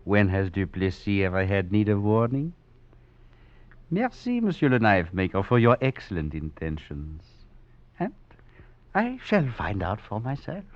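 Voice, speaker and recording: An actor playing a bitter, sardonic French fugitive, brooding and ironic, in a 1940s radio drama. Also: male voice, fairly low pitch, wildly swooping pitch, unhurried, pauses often, no echo. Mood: bitter, proud. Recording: dull vintage radio recording.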